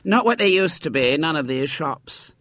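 A man's voice: fairly high pitch, expressive, moderate pace, fairly bright tone, dry recording, studio quality, pitched above the performer's own voice. A man voicing a chatty, opinionated mother out shopping, in a storybook reading.

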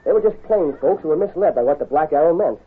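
An excitable, plain-spoken cowboy lawman with a husky, higher voice and lively swings. He talks briskly in an old radio western with a tinny sound. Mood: explaining. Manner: brisk, animated.

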